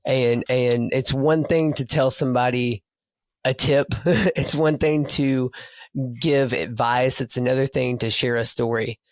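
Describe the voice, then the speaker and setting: A man with a raspy voice, a coach speaking as a guest over a video call in an earnest, reflective way. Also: medium pitch, fairly even intonation, unhurried, no echo. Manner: earnest, reflective, repeats words as he thinks. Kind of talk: casual podcast interview.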